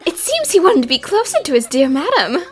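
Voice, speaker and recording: A woman voicing a perky, food-loving young lady detective in a cartoon fan dub, chirpy and excitable. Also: very high-pitched, fairly quick. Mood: cheerful.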